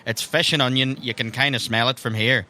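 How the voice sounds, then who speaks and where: A man's voice: medium pitch, fairly even intonation, brisk, pushed and bright. A man narrating an improvised tabletop game as game master, conversational and wry.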